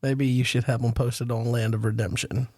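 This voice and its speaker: Male podcast host, casual rambling storyteller with a self-deprecating streak, narrow pitch range, dry close sound. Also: level tone, normal speed, vocal fry, darkish tone, dry recording. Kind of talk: casual roundtable chat.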